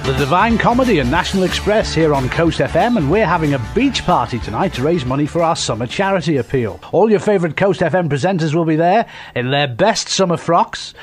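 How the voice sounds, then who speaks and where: Fairly high-voiced male radio presenter. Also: lively intonation, a little fast. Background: music.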